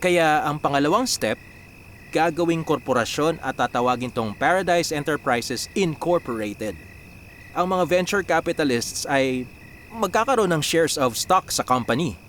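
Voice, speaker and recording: A confident, driven Filipino business strategist in a radio drama. His voice sits slightly high for a man and he explains with English phrases mixed in, over faint background noise with crickets. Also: lively intonation, moderate pace, a bit bright. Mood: confident, persuasive. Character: confident, driven young male business strategist pitching a plan.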